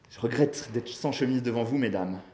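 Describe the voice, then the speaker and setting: A man's voice: mid-range voice, normal speed, warm tone, roomy sound, close to an everyday voice. A man reading a story aloud as a polite, brooding outlaw who talks in a courteous, plain, folksy way. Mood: calm, courteous. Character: polite, brooding adult male outlaw killer.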